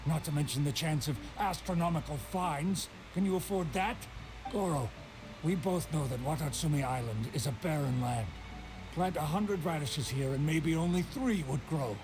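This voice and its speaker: A man playing a stern, indignant middle-aged island trader in a video game, gruff and argumentative. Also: fairly high pitch, normal speed, music in the background, natural voice, poor audio. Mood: bitter, insistent. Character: stern, indignant older male island trader, grieving father.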